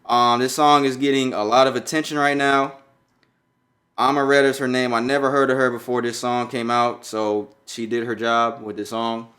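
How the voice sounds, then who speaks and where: A man's voice: medium pitch, little pitch movement, normal speed, fairly bright tone. A young adult man from Jamaica, raised in New York, co-hosting a podcast in loose, profane casual chat. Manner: loose and profane, riffing on a viral song. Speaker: podcast co-host.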